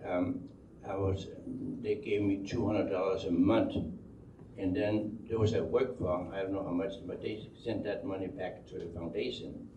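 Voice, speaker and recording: An older man from Denmark with a Danish accent tells his life story unscripted, halting and repeating words as he rambles along. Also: low-pitched, steady tone. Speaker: speaker telling life story. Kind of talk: unscripted oral history storytelling. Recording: dull, roomy, distant microphone, faint noise.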